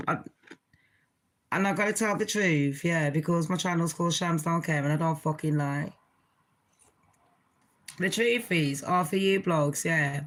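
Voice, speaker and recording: Deep-voiced blunt woman. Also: a bit bright. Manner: blunt, swearing, chatty with laughs. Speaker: channel host. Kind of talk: casual reaction commentary.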